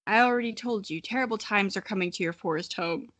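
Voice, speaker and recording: A solemn, mysterious wolf spirit from a children's fantasy story, lightly played by a woman reading aloud. The voice is bright and close in a dry space, calmly delivering formal prophecies. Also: medium pitch, quick pace, no room sound, lightly acted.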